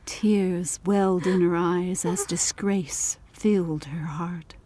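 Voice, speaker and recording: A woman narrating a scripted audiobook story in a measured, deliberate, literary manner. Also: lowish voice, fairly even intonation, warm tone, a little hiss or hum.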